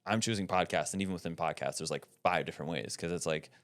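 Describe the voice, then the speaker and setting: A man talking casually as an interview guest, emphatic and animated, often checking in with "right?". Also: sits low, fast talker. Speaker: podcast network guest.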